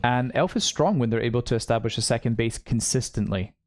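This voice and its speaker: A man with a mix of British and American English, calmly analyzing a live esports match and laughing easily. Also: medium pitch, a little fast, a little hiss or hum. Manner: easygoing, laughs often, analytical. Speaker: esports co-caster. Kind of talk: live game commentary.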